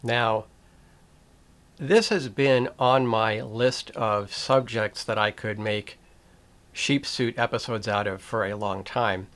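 An older man hosting a commentary video, talking straight to the camera in a slow, reflective way, with pauses, restarts and a wry edge. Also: on the low side, a bit bright. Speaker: video essay host.

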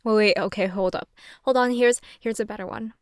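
A woman's voice: medium pitch, fairly even intonation, fairly quick, dry recording, clean studio sound, close to an everyday voice. A young adult woman playing a sardonic, flustered, self-deprecating young woman who rambles and swears casually in an audio roleplay monologue. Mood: flustered, joking.

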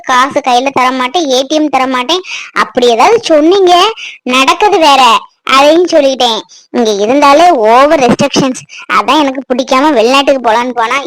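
A woman's voice: high-pitched, no echo, natural voice, low-quality recording. Woman playing a sardonic, resentful wife. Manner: complaining, sardonic. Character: resentful, defiant daughter-in-law and wife.

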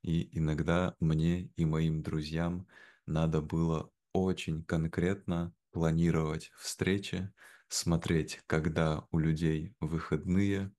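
Very deep-voiced young man, slow talker. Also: little pitch movement, a little mellow. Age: young adult. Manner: measured, carefully phrased. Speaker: interview guest. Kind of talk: slow conversation for language learners. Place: indoor room at home, video call. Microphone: video call, wearing headphones.

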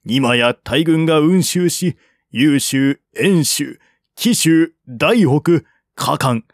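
A man acts out a courtly envoy from a historical novel. He speaks humbly and earnestly in a fairly high voice, very slowly and formally. The sound is close and dry. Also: no room sound, exaggerated voice. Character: deferential male envoy, courtly and earnestly persuasive. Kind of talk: audiobook reading of historical novel dialogue.

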